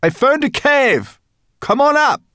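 A man's voice: medium pitch, lively intonation, normal speed, no room sound, studio quality, voice pushed up, lightly acted. A man voicing a brash, bossy young female fairy creature who grumbles and exclaims, in a storybook reading. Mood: excited calling.

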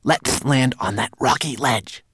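A man's voice: medium pitch, unhurried, background noise, voice pushed up, lightly acted. A man voicing a tiny, spindly, nervous and sly mannequin with a fretful, furtive manner in a storybook reading.

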